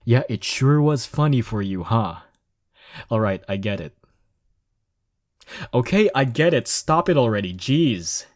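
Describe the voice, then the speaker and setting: A man's voice: medium pitch, lively intonation, unhurried, long pauses, no room sound, lightly acted. A cocky young man, played by a male voice actor in an intimate romance audio, teasing and easily flustered. He takes his time over the words, and the sound is close and dry, with no room around it. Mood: embarrassed, defensive. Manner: teasing, chuckling, sighing, stammering when flustered.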